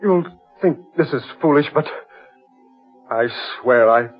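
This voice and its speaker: An actor playing a frightened, agitated man in an old-time radio horror drama, speaking haltingly and insistently. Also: a little high, expressive, breathy edge, exaggerated voice. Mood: alarmed. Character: frightened, panicky man named Crane, lost in dark forest. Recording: dull vintage radio recording with music.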